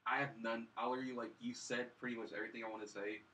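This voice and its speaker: A young man chats casually as a co-host of a film review show. His voice is bright and forward, at a middling pitch, recorded a little away from the microphone. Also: little pitch movement, very fast, recorded from a distance, a little hiss or hum, low-quality recording. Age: young adult. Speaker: movie review podcast co-host. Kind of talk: casual group film discussion.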